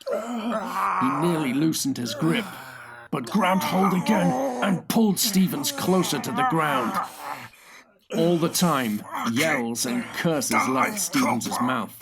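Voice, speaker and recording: A man's voice: on the high side, animated, takes it slowly, creaky, a loud crowd. A man narrating a thriller audiobook in a dramatic, scripted style.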